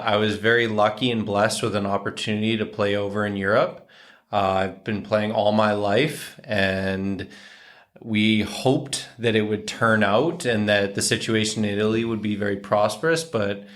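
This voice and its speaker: A man in his twenties co-hosting a casual couple Q&A vlog, relaxed and joking, speaking into a desk microphone in a small room. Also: lowish voice. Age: 20s. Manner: relaxed, joking, matter-of-fact. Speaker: co-host, hockey player. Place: small bright room indoors.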